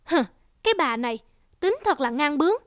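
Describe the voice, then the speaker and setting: A woman's voice: sits high, sing-song, fairly quick, no room sound, clean studio sound. Stern, scolding cartoon rooster voiced by a woman. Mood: exasperated. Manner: scolding, exasperated, emphatic. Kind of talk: Vietnamese cartoon dubbing. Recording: music behind the voice.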